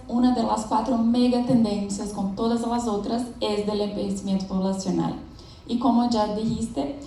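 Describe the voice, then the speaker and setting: A woman's voice: on the high side, dark tone, slight background noise. A young adult woman from Brazil, Portuguese her first language, giving a friendly conference presentation, laughing often and sometimes searching for words. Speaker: conference speaker. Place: large event hall with audience. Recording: echoey hall from a distant mic.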